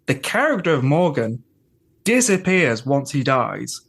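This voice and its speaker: Guy on a podcast breaking down an episode, analytical, middling pitch, goes off on little asides. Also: very animated, measured pace, vocal fry, fairly bright tone, husky. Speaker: podcast panelist. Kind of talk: casual episode discussion.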